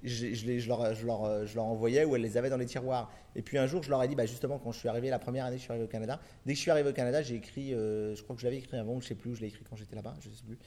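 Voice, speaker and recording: A man's voice: mid-range voice, fairly even intonation, very fast, hardly any pauses, mellow. A middle-aged man from France, a film director answering interview questions in long, rambling turns full of restarts and fillers.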